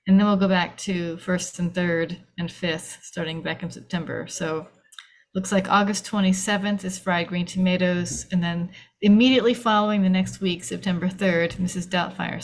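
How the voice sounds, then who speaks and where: A woman's voice: fairly low pitch, fairly even intonation, normal speed, a little hiss or hum. A woman hosting a casual group chat, talking informally to the others on the call. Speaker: host of an online script table read.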